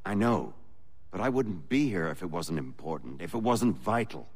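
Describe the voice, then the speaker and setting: A man's voice: low voice, big pitch swings, normal speed, husky, dry recording, full character voice. A man playing a genial, worldly patriot ally in a video game, talking in a wry, courteous, conversational way. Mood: earnest, grateful.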